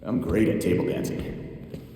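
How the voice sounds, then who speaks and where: An actor playing a deadpan, self-assured crime-fighter in a video game, talking conversationally. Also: male voice, measured pace, natural voice. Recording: very echoey hall, clear noise.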